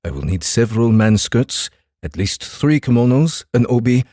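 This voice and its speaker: A man playing a calm, guarded and commanding samurai in a fantasy audiobook, speaking formally and deliberately. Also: medium pitch, no room sound, clean studio sound, close to an everyday voice. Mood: puzzled, commanding. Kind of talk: dramatized fantasy audiobook acting.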